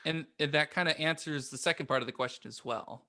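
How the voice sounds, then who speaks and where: Male panelist, fairly high bright voice, casual and halting delivery. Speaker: podcast panelist. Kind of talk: casual discussion.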